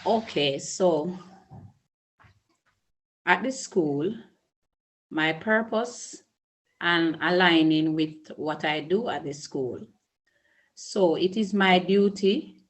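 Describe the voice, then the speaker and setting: A middle-aged Jamaican woman, a school principal, answering interview questions in a measured, earnest way with small restarts. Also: fairly low pitch. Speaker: early childhood school principal.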